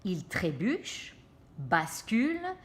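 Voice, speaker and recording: A woman reading a storybook aloud to children, pausing to explain pictures and check in with her listeners. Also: fairly low pitch, wildly swooping pitch. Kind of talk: animated read-aloud with asides to children.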